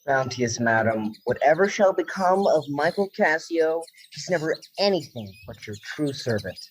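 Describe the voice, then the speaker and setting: Woman as humbled male lieutenant, low pitch, formal, deferential, uneasy, Shakespearean audio play, slight background noise. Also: animated, moderate pace, rough recording. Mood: grateful, humble. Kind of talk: Shakespearean radio play acting.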